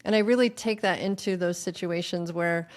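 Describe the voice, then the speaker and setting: A woman's voice: fairly low pitch, fairly even intonation, moderate pace. A woman hosting a podcast interview, reflective and searching for words as she asks questions. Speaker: podcast host. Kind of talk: conversational interview.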